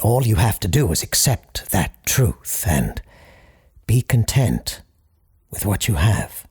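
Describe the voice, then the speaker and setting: A man playing a solemn parapsychologist who hosts a late-night call-in show in an audio drama, speaking formally and deliberately in a husky, gravelly voice. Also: fairly low pitch, sing-song, darkish tone, exaggerated voice. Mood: firm, solemn. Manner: formal, deliberate, halting pauses. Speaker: radio call-in host. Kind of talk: scripted audio drama, deliberate radio monologue.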